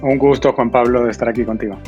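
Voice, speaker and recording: A middle-aged man who grew up in Venezuela answers as a podcast guest. He talks conversationally at an average pitch, and the sound is slightly dull. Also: fairly even intonation, quick pace, darkish tone, faint music behind. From Venezuela, born in Argentina. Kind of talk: conversational interview.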